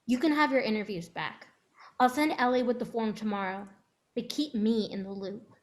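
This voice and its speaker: Woman as a protective, high-voiced mother. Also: normal speed, close to an everyday voice. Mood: stern, threatening. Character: protective, stern mother, sweet to her child. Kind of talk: dramatic script reading.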